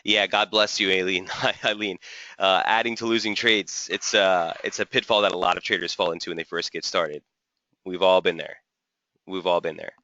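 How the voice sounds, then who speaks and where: A slightly hoarse man hosts a live trading webinar in a low, forceful voice. He walks through price charts and answers viewers' typed questions. The recording is dry and a bit muffled. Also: steady tone, moderate pace, no echo. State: slightly hoarse, losing his voice. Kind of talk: live market analysis webinar.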